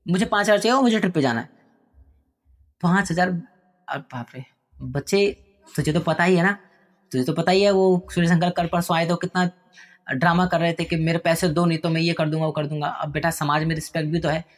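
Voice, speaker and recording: A man voicing a weary, gentle father who pleads calmly and patiently with his son. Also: fairly high pitch, a little fast, natural voice. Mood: pleading.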